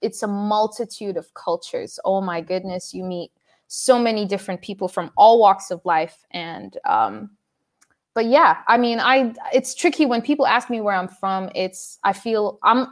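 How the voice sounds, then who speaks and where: A woman from Dubai, a poet, talking in a casual interview in a relaxed, reflective way with frequent fillers. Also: medium pitch, normal speed.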